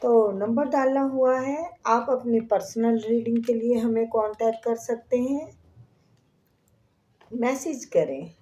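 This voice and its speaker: A woman with a sore throat giving a tarot reading to her viewers, speaking softly and slipping into whispered prayers. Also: fairly high pitch. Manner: slow, soft, drops to whispers in prayer. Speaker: tarot reader.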